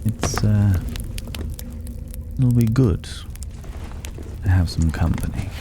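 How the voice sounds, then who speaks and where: A man playing a mysterious, courteous stranger in an intimate fantasy ASMR roleplay, speaking softly and pensively in formal, old-fashioned phrases, sometimes near a whisper. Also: on the low side, slow pace, background noise, voice pushed up. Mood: welcoming. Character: mysterious, courteous male stranger living in a dragon's cave.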